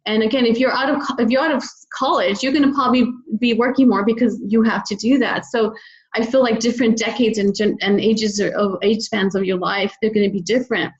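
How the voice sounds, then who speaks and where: A woman's voice: a little high, steady tone, fairly quick, dull audio. A woman speaking as a podcast guest, reflecting in an earnest, encouraging, conversational way. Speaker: podcast guest, children's book author. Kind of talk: conversational interview, personal reflection.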